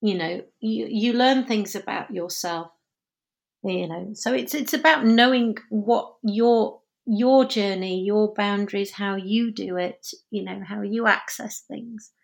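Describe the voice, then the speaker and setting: A woman's voice: mid-range voice, a little slow, gravelly. A woman actor being interviewed, talking in a chatty way, laughing often and correcting herself. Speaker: actor and dancer guest. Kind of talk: conversational interview.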